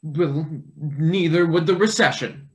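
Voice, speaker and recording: A man's voice: fairly high pitch, slow pace, lo-fi sound. A man playing a cheeky, indignant young intern in a satirical play reading, sarcastic and protesting. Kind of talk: live table read of satirical stage play.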